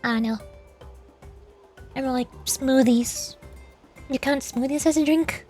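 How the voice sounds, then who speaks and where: A woman with a high voice chats casually as she streams a game. She rambles playfully and teases with light irony. Faint music plays behind her. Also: a little slow, darkish tone. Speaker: livestreamer playing a game, reading dialogue aloud. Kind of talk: casual chat with stream viewers.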